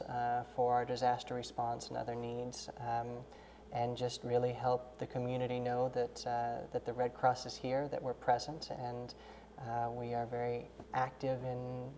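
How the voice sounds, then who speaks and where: A man's voice: medium pitch, mellow, a little hiss or hum. A man, a guest on a local interview show, explaining his work steadily with some fillers. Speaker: guest, community market manager.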